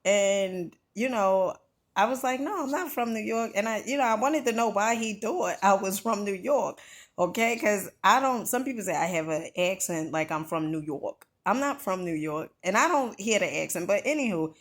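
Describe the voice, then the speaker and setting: A woman's voice: medium pitch, animated, normal speed. A middle-aged woman from New Jersey giving an earnest motivational talk, conversational and full of "you know" and "right?".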